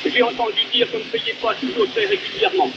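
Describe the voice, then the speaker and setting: A man's voice: very high voice, big pitch swings, quick pace. A man interviewing in a polite, inquiring conversational way on a muffled, noisy early recording.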